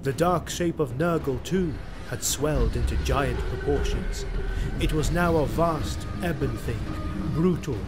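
A man's voice: on the high side, unhurried, over music. A man narrating a fantasy audiodrama in a grave, ornate storytelling manner. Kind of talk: dramatic scripted audiodrama narration.

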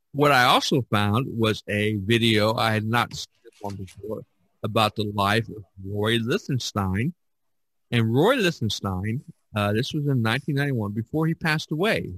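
A man hosting a podcast, talking casually in a halting, meandering way. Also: on the low side, fairly bright tone, no room sound, low-quality recording. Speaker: podcast host.

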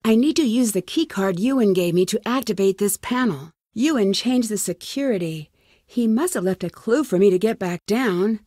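A woman's voice: medium pitch, lively intonation, normal speed, mellow, dry recording, lightly acted. Actress as inquisitive young female sleuth for an adventure game: earnest, plucky musing to herself, close dry sound. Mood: thoughtful. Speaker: lead character in a detective adventure game. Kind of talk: scripted game dialogue, thinking aloud.

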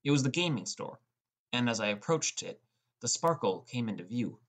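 A man's voice: medium pitch, animated, normal speed. A man reading scripted first-person story narration as an audiobook narrator.

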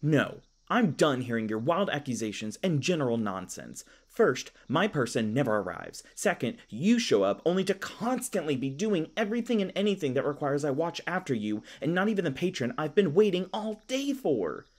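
Flustered young male elf park ranger, played by a man, audio roleplay. Awkward, folksy, stammering, highly animated intonation. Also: moderate pace, hardly any pauses. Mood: exasperated, frantic.

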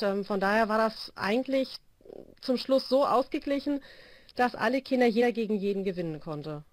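A woman's voice: a little high, no echo. Woman coach on a phone call. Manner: explanatory, many hesitation fillers. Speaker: volleyball coach. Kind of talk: video call interview. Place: small room indoors. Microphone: phone held to ear.

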